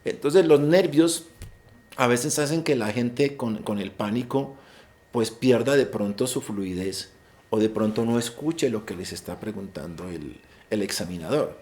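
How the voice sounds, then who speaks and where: A man's voice: medium pitch, expressive, a little slow, darkish tone. A man with a Colombian accent advising students on an English exam in a virtual session, explaining rules and repeating key points. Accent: Colombian Spanish. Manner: explanatory, repeats and restarts words, stresses key advice. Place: online session.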